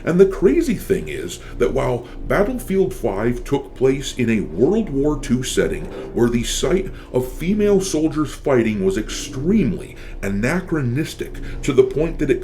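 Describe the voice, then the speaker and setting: A man's voice: medium pitch, warm tone, slight background noise. A man delivering scripted opinion commentary as a channel host, with a blunt, sardonic, profane manner.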